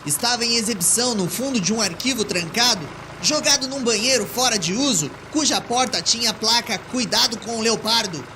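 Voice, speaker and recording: A woman's voice: a little high, brisk, hardly any pauses, background noise, voice pushed up, close to an everyday voice. A woman voicing an exasperated, stubborn, sarcastic male homeowner with an indignant manner in an audiobook drama. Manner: indignant, sardonic. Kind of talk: audiobook character dialogue.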